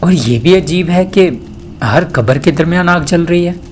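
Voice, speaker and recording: A man's voice: fairly high pitch, a little slow, slight background noise, natural voice. Man acting a questioning young student, night ambience. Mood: amazed. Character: wary, questioning young male university student adventurer. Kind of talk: audio drama acting.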